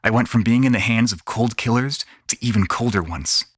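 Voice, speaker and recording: A man's voice: mid-range voice, a little fast, slight background noise. A man reading first-person sci-fi narration in a brooding, hard-boiled manner. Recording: loud music under the voice.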